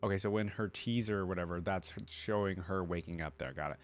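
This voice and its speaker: A man hosting a casual reaction video, rambling and thinking out loud with enthusiasm. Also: low voice, fairly quick. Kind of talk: casual reaction commentary, reading aloud.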